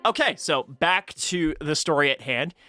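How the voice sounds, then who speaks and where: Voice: medium pitch, animated, unhurried, music in the background. A podcast host in casual conversation, thinking aloud in informal, half-finished thoughts. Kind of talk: casual chat.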